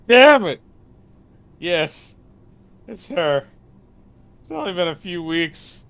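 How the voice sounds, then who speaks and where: A woman voicing a frustrated, pleading man in a horror visual novel, with a melodramatic, halting delivery. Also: lowish voice, big pitch swings, exaggerated voice. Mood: exasperated.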